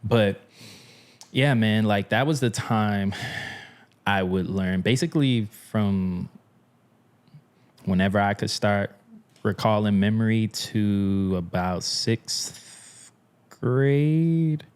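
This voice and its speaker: Very deep-voiced laid-back man. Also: slow pace, long pauses, darkish tone, smooth. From New Orleans. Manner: laid-back, slangy, laughs often. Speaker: guest, drummer and music professor. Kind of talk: casual storytelling in a podcast interview.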